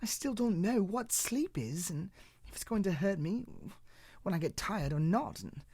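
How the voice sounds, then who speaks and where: A man's voice: high-pitched, animated, moderate pace, darkish tone, husky, no room sound, clean studio sound, voice pushed up, full character voice. A man voicing a timid little male cloud in a bedtime story, anxious and stammering. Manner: stammering, breathless worry.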